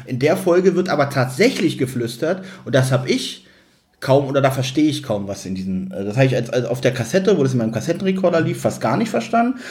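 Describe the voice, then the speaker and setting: A man's voice: mid-range voice, very animated, fast talker, darkish tone. A man with a German accent chatting casually as a podcast co-host.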